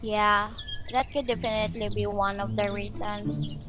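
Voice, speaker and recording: A woman's voice: medium pitch, little pitch movement, moderate pace, noisy background, close to an everyday voice. A young woman playing a concerned grown daughter in a radio drama, with an emphatic, questioning delivery. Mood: curious, concerned. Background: music and birdsong.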